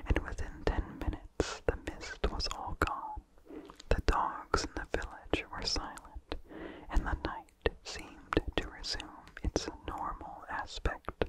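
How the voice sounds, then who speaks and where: A narrator reading a vintage horror story entirely in a breathy, hushed ASMR whisper, with deliberate phrasing. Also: rough recording.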